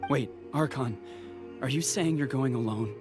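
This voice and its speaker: A man's voice: medium pitch, unhurried, music in the background, close to an everyday voice. A man playing an unsure, questioning young warrior in a video game, speaking in a casual, hesitant way. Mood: uncertain.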